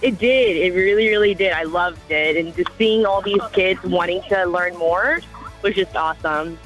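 A woman's voice: lowish voice, normal speed, faint music behind, low-quality recording. A woman teacher whose first language is Hindi, calling a radio show and speaking with some hesitation. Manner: warm, a bit hesitant with fillers. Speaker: caller, teacher. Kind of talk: phone-in chat.

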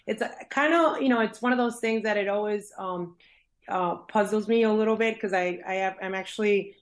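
A woman's voice: medium pitch, fairly quick. A woman born in Colombia, a dentist guest, answering interview questions in a friendly way with many fillers and restarts. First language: Spanish.

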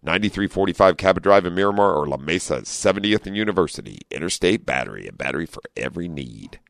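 A man with a deep voice hosting a call-in car radio show, talking in a friendly, chatty way with lots of fillers. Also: expressive, fast talker, vocal fry, fairly bright tone, no echo, clean studio sound. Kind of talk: casual talk radio hosting. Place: radio studio. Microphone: studio microphone.

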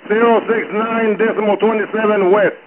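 A distressed man speaking haltingly as a boat captain making a distress call over marine radio. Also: high voice, steady tone, background noise. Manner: halting, repetitive. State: agitated, distressed. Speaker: fishing boat captain calling mayday. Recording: phone-like radio transmission, busy background voices.

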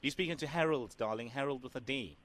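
A man's voice: mid-range voice, fast, fairly bright tone, close to an everyday voice. A man playing a sassy, overfamiliar prank caller who keeps calling the listener "girl" and "doll". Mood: sassy teasing. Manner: teasing, calls her "girl" and "doll". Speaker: radio host doing a prank call.

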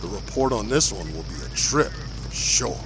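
An actor playing a plainspoken, easily awed police officer in an audio drama. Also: male voice, lowish voice, expressive, normal speed, background noise. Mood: stunned.

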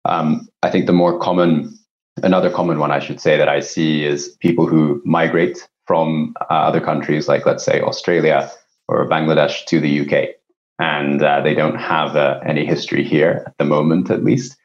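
A man's voice: very deep voice, level tone, moderate pace, dull audio. A man from Japan speaking as an executive guest, explaining things conversationally in an interview. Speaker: company CEO, podcast guest.

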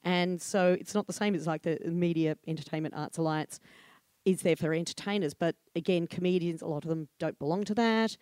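An older Australian woman speaks candidly and chattily, full of fillers, restarts and easy laughter. Her voice sits on the low side and sounds dry and close. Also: brisk, a little mellow, clear, resonant tone, dry recording. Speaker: comedy room programmer, guest. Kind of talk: live podcast conversation.

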